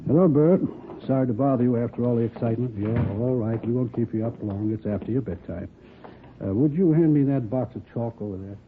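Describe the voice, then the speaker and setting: A man's voice: medium pitch, a little fast, close to an everyday voice. An actor playing a helpful man on a sanitarium's staff, patiently explaining things in old radio drama style. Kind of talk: mid-century radio drama acting. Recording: noisy, tinny vintage radio recording.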